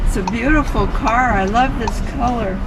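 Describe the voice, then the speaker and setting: A woman in her nineties chatting as a passenger in a car, soft-spoken and rambling, with pauses. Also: fairly high pitch. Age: 90s. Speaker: interviewee, passenger. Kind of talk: casual chat. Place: inside a car on city streets. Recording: loud road noise from a car. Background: car and traffic noise.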